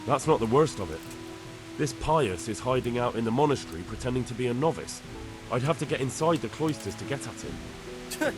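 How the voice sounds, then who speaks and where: A man's voice: medium pitch, animated, a little fast, music in the background, close to an everyday voice. An actor playing a young man in a lord's service in a game, plain-spoken and deferential. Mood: troubled. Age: young adult. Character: earnest young medieval man serving a lord. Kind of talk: video game cutscene acting.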